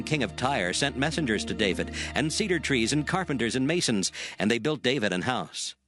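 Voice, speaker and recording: A man narrating dramatized scripture in a measured, formal way. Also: medium pitch, a bit bright, dry recording, studio quality.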